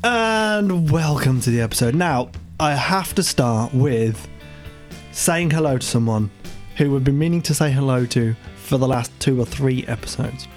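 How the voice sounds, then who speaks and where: A man's voice: mid-range voice, a little slow, faint music behind. A man hosting a podcast, chatting loosely with his co-hosts, swearing freely and laughing a lot, a bit tipsy. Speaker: podcast host. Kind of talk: casual banter with co-hosts.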